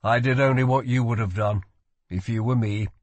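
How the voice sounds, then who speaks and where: A man plays a guarded, protective magician in an audiobook. His voice is husky and sits at a middle pitch, measured and earnest, with a firm calm. The sound is dry. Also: no room sound, lightly acted. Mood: earnest, steady.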